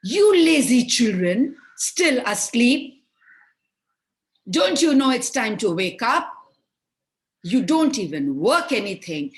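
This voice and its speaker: A woman's voice: medium pitch, pitched above the performer's own voice. A woman storyteller voicing an angry, threatening, selfish fairy-tale mother who scolds emphatically.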